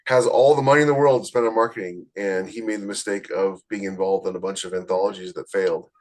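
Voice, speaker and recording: Man, somewhat low pitch, husky, plain-spoken, blunt, casual podcast advice. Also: low-quality recording. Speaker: podcast host, author. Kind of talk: casual advice chat about book publishing.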